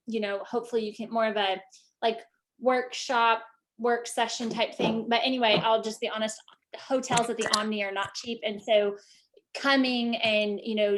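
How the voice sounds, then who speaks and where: A woman's voice: fairly high pitch, slight background noise. A woman leading a team video call, talking casually with many fillers and restarts. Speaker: team leader.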